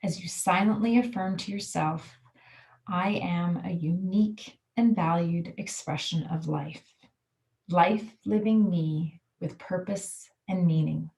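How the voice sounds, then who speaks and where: Woman, slightly low voice, guided meditation, calm, soothing, measured instruction. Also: poor audio. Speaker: yoga nidra guide.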